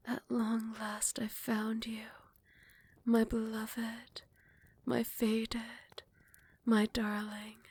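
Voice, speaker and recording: A woman's voice: a little high, gravelly, husky, exaggerated voice. A woman voicing a possessive female vampire queen in an ASMR roleplay, moving from close, tender whispers to furious threats. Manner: soft close whispers turning to furious shouting. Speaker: voice actor.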